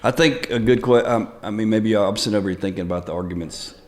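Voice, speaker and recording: A man co-hosting a casual devotional talk, thoughtful and measured, posing questions to the group. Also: fairly low pitch, a little mellow, a little hiss or hum. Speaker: podcast co-host.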